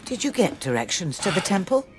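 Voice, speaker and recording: A woman's voice: on the low side, sing-song, a little fast, background noise. A woman voicing a cold, cryptic seer in a game, speaking in an aloof, cutting way. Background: music.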